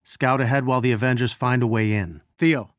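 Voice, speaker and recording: A man's voice: mid-range voice, steady tone, a little fast, no room sound, studio quality, close to an everyday voice. A man playing an earnest scientist who leads a resistance, briefing a team in a businesslike, urgent way in a video game. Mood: steady, businesslike. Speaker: game character giving mission briefings. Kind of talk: video game mission dialogue.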